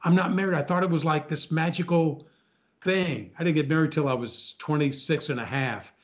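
A man's voice: on the high side, moderate pace. A man in his sixties reminiscing casually as a talk show guest, often repeating phrases for emphasis. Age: 60s.

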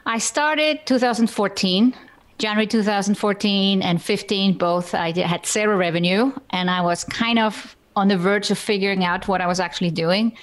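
A woman's voice: mid-range voice, moderate pace. A middle-aged woman from Iceland speaking English with an Icelandic accent. She is a guest on a podcast interview, telling her story in a plain, matter-of-fact way. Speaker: guest entrepreneur.

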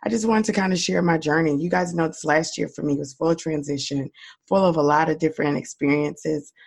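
A woman talks through a solo podcast episode with a raspy, cold-roughened voice. She stays upbeat and encouraging and speaks straight to her listeners as if they were friends. Her delivery is casual and direct. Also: sits low, fairly even intonation, fairly quick, darkish tone. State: hoarse from a cold. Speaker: podcast host.

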